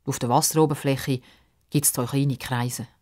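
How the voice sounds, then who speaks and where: A woman's voice: very deep voice, steady tone, measured pace, noisy background. A woman with a Swiss accent telling a scripted children's story expressively.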